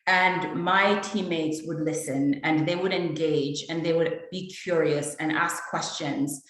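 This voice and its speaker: A woman from Jamaica speaks earnestly and thoughtfully on a panel. Her voice sits low, and the room around her rings with heavy echo. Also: fairly even intonation, measured pace. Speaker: EMBA student panelist. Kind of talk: reflective panel answer. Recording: very echoey room.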